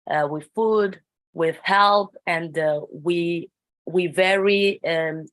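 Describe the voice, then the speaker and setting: A young woman with a Congolese accent introduces herself on an online call in English as a second language, halting as she searches for words. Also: lowish voice, dry recording. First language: not English, speaks it as a second language. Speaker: youth organization ambassador introducing herself. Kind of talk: unscripted self-introduction.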